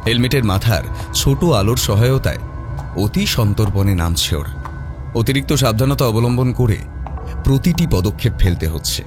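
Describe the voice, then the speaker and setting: A man narrating a horror mystery story in a dramatic, suspenseful, theatrical way. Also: lowish voice. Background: prominent background music.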